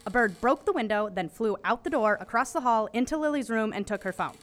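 A woman's voice: on the high side, expressive, brisk, close to an everyday voice. A woman playing a bookish, curious teenage investigator in an audio drama, precise, wry and matter-of-fact. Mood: analytical. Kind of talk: naturalistic audio drama acting.

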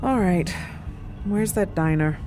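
A woman voicing a conscientious, somewhat anxious young woman in an audio drama, talking in an animated, apologetic way. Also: medium pitch, slow, over music. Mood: chatty.

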